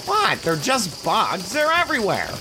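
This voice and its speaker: A woman's voice: medium pitch, wildly swooping pitch, measured pace. A woman playing a squeamish, fussy, anxious space traveler in an audio drama, whiny and hesitant. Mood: disgusted. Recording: insect-filled jungle noise behind.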